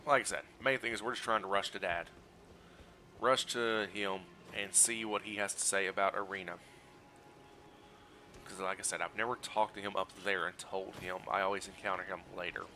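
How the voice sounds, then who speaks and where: A man streaming a video game while sick, hoarse and scratchy, talking through his play in a relaxed, explanatory way and greeting his chat. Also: normal speed, pauses often. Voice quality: scratchy, low energy. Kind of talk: casual gameplay walkthrough commentary.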